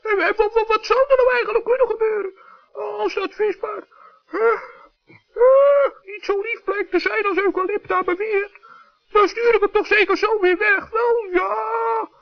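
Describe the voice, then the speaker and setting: A man voicing a small, naive gnome in a children's radio play, squeaky, childlike, earnest and dithering. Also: a little slow, vocal fry, heavily acted. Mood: worried dithering. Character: small male gnome, naive, curious, hesitant. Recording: dull 1960s radio recording.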